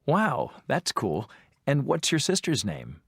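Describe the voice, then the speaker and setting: An adult voice playing an interviewer in a scripted textbook dialogue, asking friendly questions with measured delivery. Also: medium pitch, wildly swooping pitch, slightly rough, no echo, clean studio sound, heavily acted. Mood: plain.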